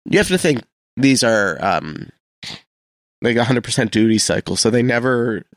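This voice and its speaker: A man's voice: mid-range voice, lively intonation, normal speed, fairly bright tone, no echo. A man co-hosting a local radio show, chatting casually and explaining things in informal but technical terms. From Canada.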